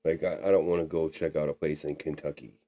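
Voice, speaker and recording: A man's voice: rumbling low, little pitch movement, fast talker, warm tone. A man in his forties co-hosting a podcast, chatting casually and drawling, often tagging lines with "man". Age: 40s. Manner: drawling, says "man" a lot. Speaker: podcast co-host. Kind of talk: casual chat.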